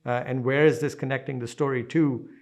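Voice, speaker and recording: A man's voice: medium pitch, fairly even intonation, brisk, a little mellow. A man from a production team giving an explanatory behind-the-scenes talk.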